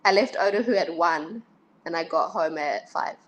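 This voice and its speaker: A woman's voice: lowish voice, moderate pace, rough recording. A woman chatting casually as an interview guest on a video call. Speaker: guest, pageant candidate. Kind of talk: casual conversation. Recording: video call with faint background noise.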